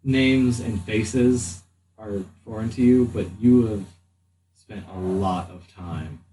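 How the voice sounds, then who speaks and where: A man with a low voice narrates a tabletop roleplaying game as its game master. He improvises haltingly, sitting away from the microphone with a little noise around him. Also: dark tone, far from the mic. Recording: roomy, off-mic, faint room noise.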